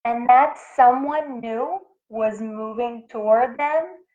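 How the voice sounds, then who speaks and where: Tinny phone-like audio, woman, children's story read-aloud, slow careful pace, teacherly. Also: on the high side. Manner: animated, deliberate phrasing. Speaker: elementary school teacher reading aloud. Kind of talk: expressive picture-book read-aloud for children.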